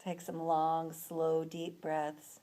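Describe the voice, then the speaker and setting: A woman leading a guided relaxation as an instructor, speaking in a soothing, unhurried manner with long pauses between phrases. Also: sits low, monotone, dark tone.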